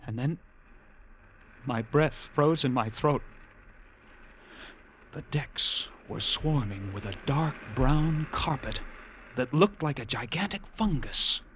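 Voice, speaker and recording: An actor playing a lighthouse keeper who narrates his ordeal in hushed, dread-filled tones, in 1940s radio acting style. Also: mid-range voice, lively intonation, slow pace, pauses often, slight background noise, rough recording. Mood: tense. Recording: old radio recording with music.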